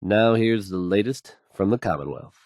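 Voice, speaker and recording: An actor playing a confident, smooth-talking radio DJ in a post-apocalyptic video game, announcing songs with folksy wry asides. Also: male voice, lowish voice, lively intonation, moderate pace, darkish tone, no echo, clean studio sound, natural voice. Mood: wry, upbeat.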